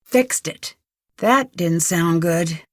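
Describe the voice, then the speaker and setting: A woman playing an inquisitive, level-headed young detective thinking aloud in a video game, earnest and crisp. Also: fairly low pitch, drawn-out words, no room sound, studio quality. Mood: focused, pondering.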